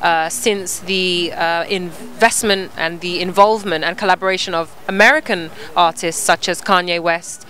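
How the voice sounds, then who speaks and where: A woman in her twenties interviewing a guest outdoors on a rooftop, asking clear, measured questions into a handheld mic. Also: lowish voice, steady tone, a bit bright. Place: outdoor rooftop terrace above a busy street.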